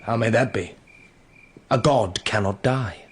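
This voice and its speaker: A man's voice: fairly low pitch, slow pace, husky. An actor playing a stern, suspicious, authoritarian king in an audio drama, speaking in a clipped, commanding way. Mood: threatening defiance.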